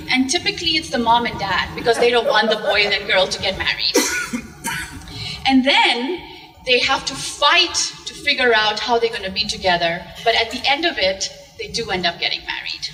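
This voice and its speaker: A woman with a bright, lively voice tells her own story on a museum stage, slowly and with poetic drama. She sounds far from the mic, in a large echoing hall. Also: on the high side, soft background music. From Zambia. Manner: slow, dramatic, poetic delivery. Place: art museum event stage with audience. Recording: distant mic in a reverberant hall.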